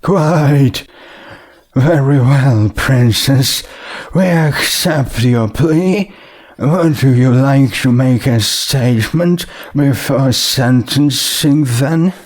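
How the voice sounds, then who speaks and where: A man voicing a stately male pony judge with pompous, measured pronouncements in a storybook reading. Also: medium pitch, darkish tone, voice pushed up. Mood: stern formality.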